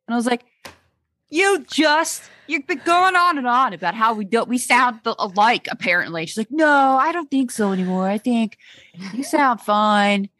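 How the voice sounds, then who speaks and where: A woman's voice: a little high, lively intonation, moderate pace, a bit bright, dry recording. A woman with a Texan accent co-hosting a podcast in casual chat, rambling with restarts and laughing often. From Texas, United States. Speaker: podcast co-host.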